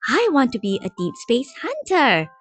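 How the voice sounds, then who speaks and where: An eager, wide-eyed boy of seven , acted out by a woman in a storybook tale. The pitch sits high and leaps about, with faint music underneath. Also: wildly swooping pitch, moderate pace, exaggerated voice. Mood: excited.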